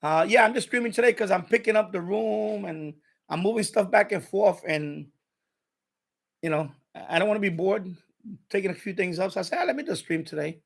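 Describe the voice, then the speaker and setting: Middle aged guy from puerto rico, kinda high voice w some gravel, just rambling on a stream. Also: a little fast. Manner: rambling, repeats himself. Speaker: live streamer, radio hobbyist. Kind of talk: casual livestream chat.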